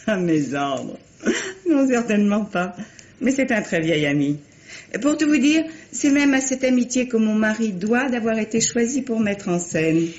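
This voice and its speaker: A woman's voice: a little high, lively intonation, measured pace, darkish tone. An actress playing a self-assured, blunt woman novelist in a radio mystery play, with articulate theatrical delivery. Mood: assured, amused. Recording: dull, roomy, noisy old radio recording.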